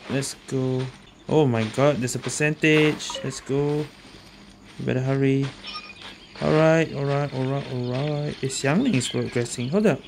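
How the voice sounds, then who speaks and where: A woman's voice: a bass voice, measured pace, noisy background. A woman giving casual commentary as she plays through a video game. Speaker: gamer narrating a playthrough. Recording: game music behind the voice. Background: video game music and sounds.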